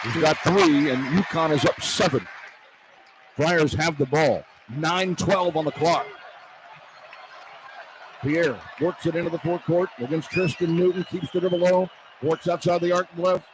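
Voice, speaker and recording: A man with a high-pitched voice delivers live basketball play-by-play for radio in short, clipped bursts. His delivery is urgent and punchy. Clear arena noise runs behind him. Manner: clipped, rapid-fire phrases that build in urgency. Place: courtside in an indoor arena. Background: noisy arena ambience.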